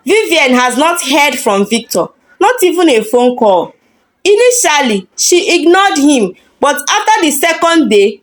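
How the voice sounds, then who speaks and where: High-voiced, animated woman storyteller. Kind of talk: dramatic scripted story narration.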